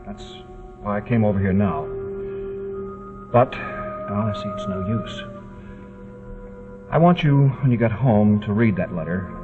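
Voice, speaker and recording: A man's voice: lowish voice, a little slow, long pauses, over music, natural voice. An actor playing an earnest, anguished man imploring the woman he loves in an old screen melodrama. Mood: resigned, earnest. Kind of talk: vintage screen melodrama acting. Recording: tinny band-limited old film soundtrack.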